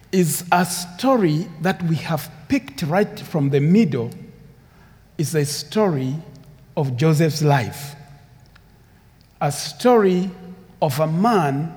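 Slow-preaching Ugandan man in a church. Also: fairly high pitch, a little mellow. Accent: Ugandan English. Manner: earnest, unhurried preaching with repeated phrases and rising emphasis. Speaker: guest preacher. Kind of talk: sermon. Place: church sanctuary with long reverb. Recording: reverberant church hall.

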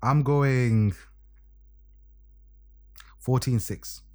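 A man in casual podcast banter, emphatic and animated, often tagging lines with "yeah?". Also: mid-range voice, extremely slow. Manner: animated, emphatic, tag questions. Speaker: podcast co-host.

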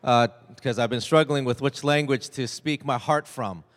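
A man's voice: mid-range voice, normal speed. A man interpreting a woman's sermon in first person in a church, with light humor. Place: church hall. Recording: long hall reverb.

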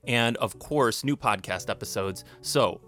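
A man's voice: on the low side, unhurried, fairly bright tone, soft background music. A man hosting a children's comedy podcast, moving between storytelling and casual chat.

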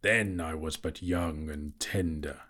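Man voicing a huge deep husky old dragon. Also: fairly even intonation, sharp tone, heavily acted. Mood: menacing, gloating. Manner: slow, gloating, grandiose. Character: huge old boastful dragon, proud and menacing. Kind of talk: audiobook character voice.

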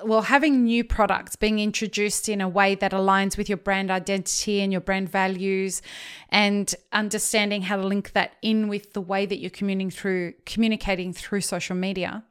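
A woman hosting a podcast, quickly summing up points and encouraging her guest. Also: medium pitch, steady tone, fairly bright tone, clear, resonant tone. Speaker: podcast host. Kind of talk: casual podcast interview.

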